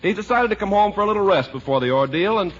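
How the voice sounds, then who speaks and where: A man's voice: sits high, lively intonation, fast talker. A man with an American accent announcing on 1940s radio in a polished, emphatic selling style. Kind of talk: 1940s radio advertising and narration. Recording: muffled, hissy 1940s radio.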